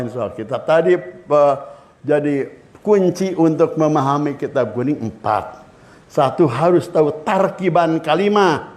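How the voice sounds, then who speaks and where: Older male cleric, Sundanese accent, slightly high voice, lively teaching tone. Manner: lively, switching between languages, acting out anecdotes. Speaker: Islamic cleric. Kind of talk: religious lecture to students.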